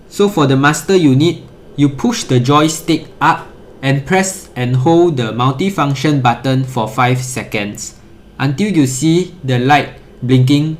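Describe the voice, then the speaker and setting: Guy doing a how-to walkthrough, methodical, mid-pitched voice, some background noise. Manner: methodical, instructional. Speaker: tutorial presenter. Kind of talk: step-by-step instructional narration.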